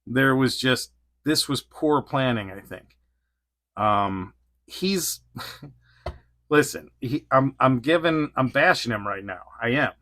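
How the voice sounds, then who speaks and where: A man's voice: mid-range voice, animated, slow, long pauses, sharp tone, no room sound, studio quality. A man in his forties reviewing an action figure in a casual, rambling way, laughing often between thoughts. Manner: rambling, giggly, lots of fillers. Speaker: toy reviewer.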